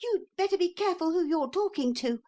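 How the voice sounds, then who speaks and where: A woman's voice: animated, warm tone. A woman voicing a brave, curious little boy in a storybook who talks back indignantly. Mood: defiant. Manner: high, quick and indignant.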